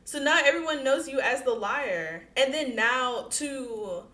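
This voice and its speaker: Woman co-host, blunt sassy commentary, higher voice, recorded in a roomy space. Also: animated, unhurried, pushed and bright. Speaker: podcast co-host. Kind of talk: casual chat reacting to a post.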